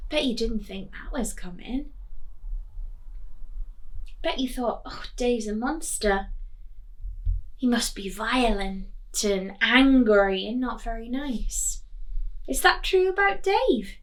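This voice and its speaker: A woman reading a picture book to children as a teacher, deliberate and expressive, putting questions to her young listeners. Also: a little high, poor audio.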